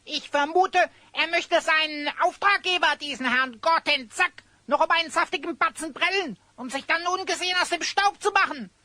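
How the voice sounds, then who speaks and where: Squeaky, cheeky little sidekick. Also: female voice, normal speed, hardly any pauses, sharp tone, no room sound. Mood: pert. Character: small, cheeky, excitable sidekick of the hero. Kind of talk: German children's audio drama acting.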